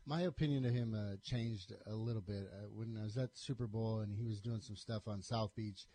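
A man's voice: on the low side, fairly even intonation, a little fast, dark tone. A man hosting a sports talk radio show, telling stories in a casual, conversational way. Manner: conversational, storytelling.

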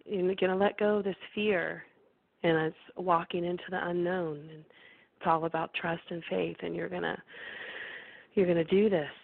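Woman caller, hoarse and sick, gravelly low voice, earnest and grateful, tinny phone line. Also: steady tone, normal speed. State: hoarse, sick and losing her voice.

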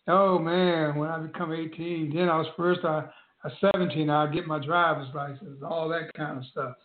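A man co-hosting a talk show, speaking in a slow, reflective manner with short agreeing replies. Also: a little high, steady tone. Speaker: podcast co-host. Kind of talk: casual chat. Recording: tinny phone-like line in an echoey room.

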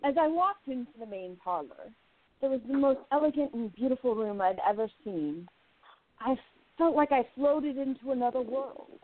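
A woman's voice: a little high, narrow, tinny audio. A woman playing a flirtatious, catty 1920s gossip columnist in a radio play, arch and theatrical, savoring her words. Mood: breathless relish. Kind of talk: radio play narration and dialogue.

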